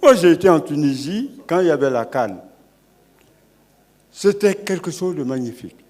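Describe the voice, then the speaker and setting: A man's voice: fairly high pitch, very animated, normal speed. Older Guinean man, fiery orator. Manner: oratorical, building to forceful declarations. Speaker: panel guest, elder. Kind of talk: impassioned speech at a public panel.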